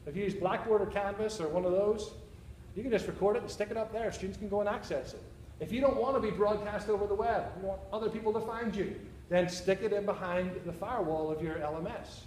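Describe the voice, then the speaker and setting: A man's voice: sits high, fast talker, darkish tone, a little hiss or hum. A man with a Northern Irish accent giving a conference talk to teachers, joking and often checking in with "all right?" and "okay?". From Northern Ireland. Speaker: presenter. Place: conference room. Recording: echoey room, faint ambience.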